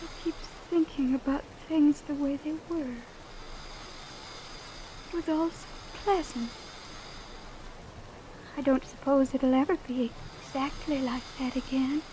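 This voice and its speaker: A naive, pampered young woman in a vintage radio play. Her voice is high and girlish, with a wistful, dreamy manner, over faint broadcast noise. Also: steady tone, measured pace, long pauses, mellow, rough recording. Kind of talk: old-time radio drama acting.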